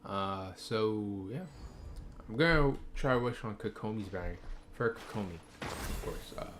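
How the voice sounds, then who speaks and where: Man, game streamer, casual rambling commentary, lots of fillers, lowish voice, noisy background. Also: animated, unhurried. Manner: rambling, thinking aloud with many fillers.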